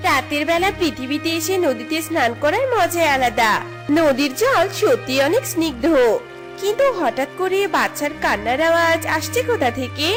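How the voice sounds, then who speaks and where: Woman doing a kind curious fairy, high voice, earnest and gentle, cartoon fairy tale, bit of music behind. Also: expressive, moderate pace.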